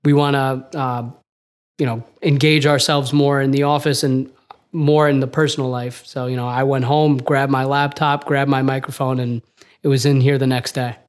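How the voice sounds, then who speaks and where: A man's voice: mid-range voice, moderate pace. Young man, laid-back podcast co-host. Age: young adult. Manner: relaxed, many "you know" and "uh" fillers. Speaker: podcast co-host, junior architect. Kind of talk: casual podcast chat. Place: office.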